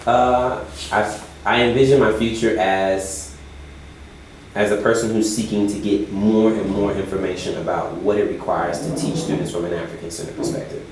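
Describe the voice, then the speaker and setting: A man from the United States, a volunteer teacher, answering interview questions in a measured, deliberate way with many fillers. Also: on the low side, steady tone. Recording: very echoey room, faint noise.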